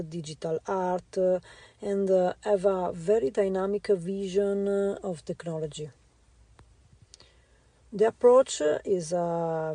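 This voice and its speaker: A woman with an Italian accent, a visual artist answering interview questions in a halting way with many filler sounds. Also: on the low side, warm tone, dry recording. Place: radio studio.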